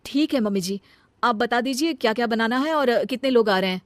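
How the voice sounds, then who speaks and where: Woman acting a high-pitched, dutiful elder daughter-in-law. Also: lively intonation, a little fast, no echo, natural voice. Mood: obedient. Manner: earnest, emphatic, scolding when annoyed. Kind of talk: acted Hindi family comedy story.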